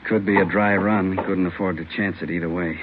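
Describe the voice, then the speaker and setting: An American actor playing a gruff, steady police captain, terse and authoritative, in old radio drama style. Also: male voice, low-pitched, a little fast, natural voice. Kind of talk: 1950s radio drama acting. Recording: dull, noisy old radio recording.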